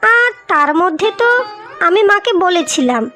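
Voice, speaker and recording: Woman acting young cartoon wife, very high voice, earnest, wronged, outspoken, music behind. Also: big pitch swings, moderate pace, bright, forceful tone, full character voice. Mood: surprised, defensive.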